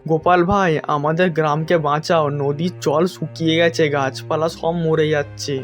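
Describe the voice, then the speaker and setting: A man's voice: fairly high pitch, little pitch movement, moderate pace, lightly acted. Greedy village schemer acted by a man, music behind. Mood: pleading.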